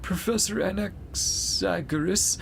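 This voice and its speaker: A man's voice: sits high, slow pace, gravelly, a little hiss or hum, voice pushed up, full character voice. A man voicing a polite, earnest young male student from a game, courteous and eager.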